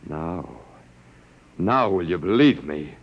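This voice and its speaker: An actor playing a weary, wry human survivor in a classic radio drama, halting and informal, with a gravelly edge. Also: male voice, low voice, lively intonation, full character voice. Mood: defiant.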